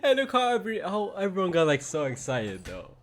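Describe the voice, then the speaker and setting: A woman's voice: on the low side, huge pitch swings, fairly quick, a little hiss or hum. A woman giving casual, enthusiastic, exclamatory reaction commentary over a video game. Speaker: YouTube reactor. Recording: game music behind.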